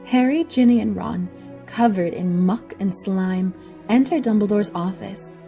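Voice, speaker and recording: A woman's voice: mid-range voice, a little slow, dark tone, faint music behind. A woman reading scripted story narration for an audio drama.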